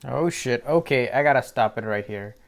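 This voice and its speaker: A man's voice: medium pitch, normal speed, gravelly. A man hosting a reaction video, giving casual, unscripted commentary in a rambling, slangy way. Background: music behind the voice.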